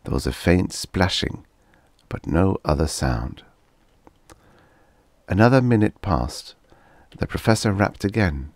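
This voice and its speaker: Deep, creaky-voiced male audiobook narrator. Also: sing-song, slow pace, pauses often, darkish tone, no room sound. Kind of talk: expressive scripted narration of humorous fiction.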